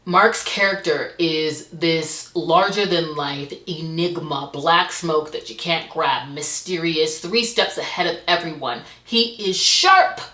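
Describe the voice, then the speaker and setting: A woman's voice: deep voice, takes it slowly, hardly any pauses, bright, forceful tone, roomy sound. A woman chatting expressively as a book reviewer, gushing and emphatic as she recaps what she has read. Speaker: book reviewer and host of a reading channel. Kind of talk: chatty monthly reading wrap-up to camera.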